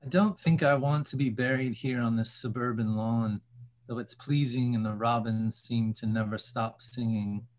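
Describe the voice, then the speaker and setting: A man's voice: medium pitch, steady tone, moderate pace, darkish tone. A man giving a lyrical reading of a literary text. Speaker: reader. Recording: faint background ambient noise.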